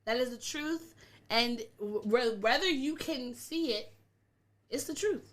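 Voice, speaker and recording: Insistent, high-voiced female podcast co-host. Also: a little slow, fairly bright tone. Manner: playfully defensive, insistent. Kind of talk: casual banter.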